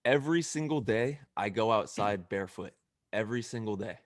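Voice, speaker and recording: A man's voice: lowish voice, expressive, a little fast, no room sound, studio quality. A man hosting a podcast interview, asking earnest, leading questions in a casual tone. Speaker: podcast host.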